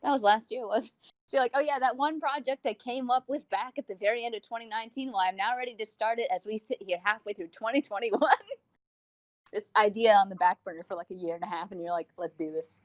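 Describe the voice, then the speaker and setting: A woman's voice: a little high, fast talker, dry recording. A woman in casual podcast chat, joking along in a self-deprecating way. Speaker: podcast speaker.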